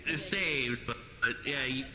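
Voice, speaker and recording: A man's voice: medium pitch, a little slow, a crowd in the background. A man role-playing in character in a virtual reality voice chat, improvising his lines. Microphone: VR voice chat microphone. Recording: echoey, reverberant voice chat.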